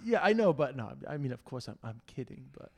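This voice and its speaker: A quick-talking man hosts a loose, profane conversation with a friend. He teases his guest and trips over restarts as he hurries to the point. His voice sits at an ordinary middle pitch. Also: sing-song, darkish tone. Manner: teasing, halting restarts. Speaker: podcast host interviewing a friend. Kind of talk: casual profane banter.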